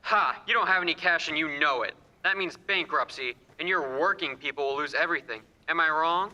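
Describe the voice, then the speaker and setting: Scheming banker for radio drama, acted by a man: smug, very sharp delivery, reverberant stage. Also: fairly high pitch, a little fast, muffled recording. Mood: gloating. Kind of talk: filmed radio play acting.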